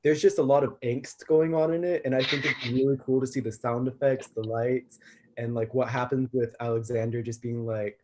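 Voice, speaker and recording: Mid-pitched man in casual conversation. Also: steady tone, moderate pace, background noise. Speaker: cast member in a play. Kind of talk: casual cast Q&A chat.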